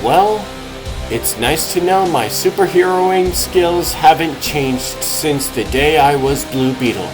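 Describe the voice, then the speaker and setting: Casting: man as middle-aged has-been hero, dry sarcasm, self-deprecating, slow-paced over music. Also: on the high side, rough recording. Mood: wry, weary. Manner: sardonic, muttering to himself. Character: wry, self-deprecating washed-up male superhero with heart trouble. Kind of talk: comic dub monologue.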